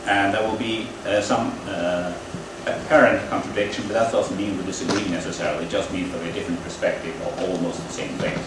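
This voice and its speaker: Deep-voiced man lecturing in an echoey room. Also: steady tone. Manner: halting, explanatory, with frequent pauses. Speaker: lecturer at a training event. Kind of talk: technical lecture. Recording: echoey room, distant mic, air-conditioning hum. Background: air conditioning hum.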